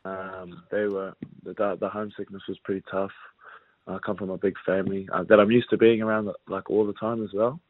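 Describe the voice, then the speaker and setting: A young man from New Zealand talks in an easygoing, halting way, full of ums and restarts. His voice sits a little low. It comes through a narrow, phone-like line with no room sound. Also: no echo. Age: young adult. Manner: relaxed, halting, many fillers and restarts. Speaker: rugby league player, guest. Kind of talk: casual interview chat.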